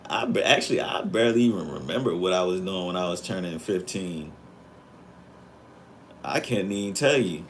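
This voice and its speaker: A man's voice: sits low, animated, normal speed, a little hiss or hum. A man in his thirties chatting casually on a live stream, rambling and reflective, with lots of fillers. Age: 30s.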